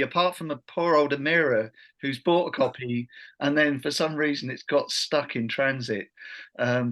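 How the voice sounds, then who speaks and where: A middle-aged man hosting an informal online workshop on a video call, phrasing things haltingly. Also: mid-range voice, a bit bright. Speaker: workshop host, education trainer.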